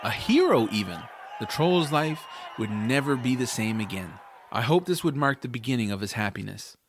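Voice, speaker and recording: A man's voice: mid-range voice, expressive, normal speed, background noise. A man narrating a first-person fantasy audiobook as scripted storytelling.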